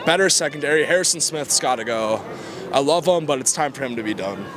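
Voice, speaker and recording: Young man, glum measured tone, crowd noise, street at night. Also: medium pitch, expressive. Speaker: fan interviewed after a game. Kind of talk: street interview. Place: city street at night outdoors. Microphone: handheld mic.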